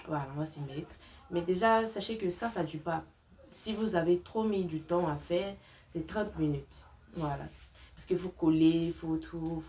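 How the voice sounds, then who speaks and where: Young woman with a low, mellow voice. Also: a little hiss or hum. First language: not French-only, has a self-described slight accent. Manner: informal, girlfriend-to-girlfriend address with colloquial tags. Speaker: crochet tutorial host. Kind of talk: casual chatty tutorial.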